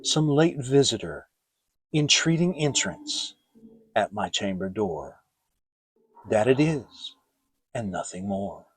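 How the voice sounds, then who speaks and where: A man performing a grieving, haunted scholar in a theatrical, brooding gothic poetry reading with drawn-out phrasing. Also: fairly low pitch, big pitch swings, husky, no echo, voice pushed down, heavily acted. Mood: fearful, hushed. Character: grieving, haunted scholar alone at night, increasingly fearful.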